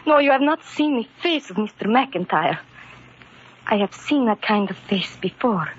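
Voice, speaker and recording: High female voice, devoted worried wife, melodramatic, formal phrasing, old radio drama, dull lo-fi sound. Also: expressive, moderate pace, breathy edge. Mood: urgent, pleading. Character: anxious, devoted immigrant wife, urgent and protective. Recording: muffled vintage radio recording.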